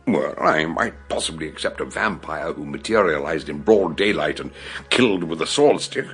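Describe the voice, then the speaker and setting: An actor playing an eccentric, learned older British detective in a radio drama, ruminative and exclamatory with old-fashioned turns of phrase. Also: male voice, rumbling low, animated, moderate pace, a bit bright, soft background music, heavily acted. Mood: grave, musing. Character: eccentric older learned male detective doctor, erudite and theatrical.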